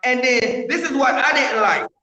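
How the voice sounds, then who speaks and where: A man in his forties from Brooklyn, calling into a live stream and giving animated, heated street-talk commentary, always adding "you know what I'm saying". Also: very high voice, moderate pace, music in the background. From Brooklyn, New York. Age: 40s. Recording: roomy, noisy call audio that cuts in and out.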